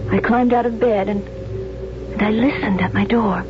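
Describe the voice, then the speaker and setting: An actress playing a haunted young woman recalling childhood fears in a hushed, halting 1970s radio monologue. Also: mid-range voice, steady tone, close to an everyday voice. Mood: haunted, wistful. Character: haunted young woman recounting dark childhood memories, fearful. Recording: muffled radio broadcast with music behind.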